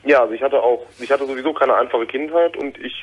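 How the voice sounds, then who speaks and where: Junger mann in seinen zwanzigern, zögerlich, schnell, telefonleitung. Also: male voice, mid-range voice, quick pace, breathy edge. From Germany. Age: 20s. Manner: hesitant, many fillers and restarts. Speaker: caller. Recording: phone line.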